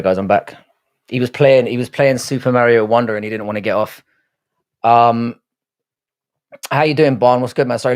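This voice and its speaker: An Irish-born man with a South London accent, chatting casually with his viewers while hosting a livestream and swearing freely. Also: medium pitch. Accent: South London English. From Ireland. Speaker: livestream host. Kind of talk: casual livestream chat reading viewer comments.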